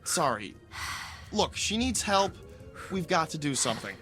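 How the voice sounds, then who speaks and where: A man's voice: a little high, very animated, a little slow, music in the background. An actor playing an urgent, pleading young man in a naturalistic screen drama. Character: urgent young male driver pleading for help.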